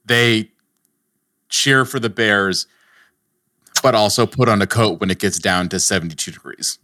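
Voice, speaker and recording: A young man co-hosts a sports podcast with a slightly low voice, full of jokes and teasing asides. The sound is close and dry, with no room around it. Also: unhurried, sharp tone, no room sound. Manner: joking, teasing, does bits. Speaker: podcast co-host. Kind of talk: casual chat.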